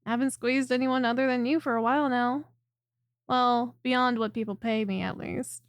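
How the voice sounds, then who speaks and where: A woman voices a snake-bodied monster girl who works as a chiropractor in an ASMR audio roleplay. The voice is high and very dry, close to the listener with no room sound. The delivery is soft and attentive, clingy and coaxing, with a teasing streak. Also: fairly even intonation, normal speed, no echo, lightly acted. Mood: affectionate, teasing. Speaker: voice performer.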